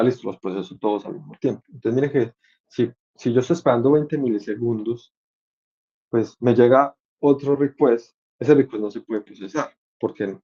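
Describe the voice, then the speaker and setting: Guy giving a casual tech career talk, low mellow voice, kinda muffled audio. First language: Spanish. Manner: conversational explaining with everyday examples and English tech words mixed in. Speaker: senior software engineer giving a talk. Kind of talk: informal explanatory career talk to students.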